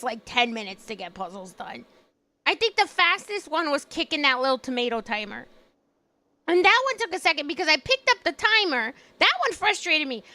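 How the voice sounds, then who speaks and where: A young woman streaming live, chatting casually with a hoarse voice and telling an animated story full of laughs. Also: very high voice. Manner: animated storytelling, laughs often, acts out quotes.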